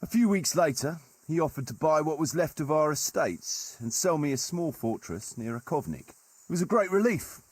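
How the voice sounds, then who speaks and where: Wry medieval mercenary leader for a game: husky, animated storyteller, very dry recording. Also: male voice, fairly high pitch, normal speed, dry recording. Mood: bitter, reflective. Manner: colloquial, archaic turns of phrase, wry. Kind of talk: dramatic storytelling in a video game cutscene.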